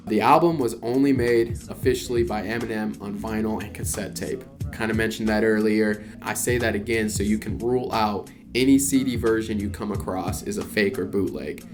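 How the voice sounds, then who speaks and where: A man explaining collectibles in a casual, conversational vlog, with frequent "you know" and "kinda". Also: on the low side. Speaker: collector vlogger. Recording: clear background music under voice.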